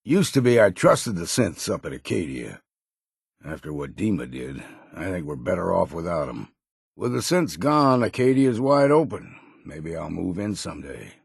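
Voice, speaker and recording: An actor playing a gruff, wary old islander sailor in a video game, with a gravelly, husky voice and salty, folksy, blunt talk. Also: male voice, low voice, big pitch swings, moderate pace, dry recording, clean studio sound, heavily acted. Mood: bitter, blunt. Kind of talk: scripted video game voice lines.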